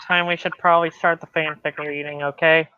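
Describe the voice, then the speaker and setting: A man's voice: fairly high pitch, level tone, a little fast, clean tone. A man chatting offhandedly on a group voice call, pushing plans for the evening. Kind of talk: casual group voice chat. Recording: dull dry voice-call audio.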